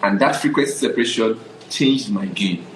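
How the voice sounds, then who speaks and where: A man's voice: on the low side, normal speed, reverberant, noisy background. A young adult Nigerian man, a photographer guest, telling his story in a casual interview, often checking "do you understand?".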